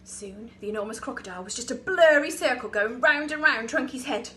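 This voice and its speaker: High, bright-voiced woman reading a story. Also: fairly quick, slight background noise. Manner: expressive, dramatic emphasis. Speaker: infant school teacher reading to her class. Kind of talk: animated children's story reading.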